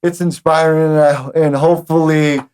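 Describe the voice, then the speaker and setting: A man's voice: on the high side, little pitch movement, moderate pace, darkish tone, smooth. Hesitant male podcast host. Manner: hesitant, many fillers. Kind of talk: casual interview chat.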